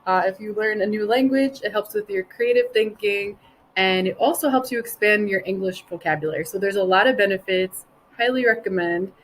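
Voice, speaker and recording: A woman's voice: mid-range voice, fairly quick, slight background noise, rough recording. A woman giving an informal educational talk in an enthusiastic, encouraging manner.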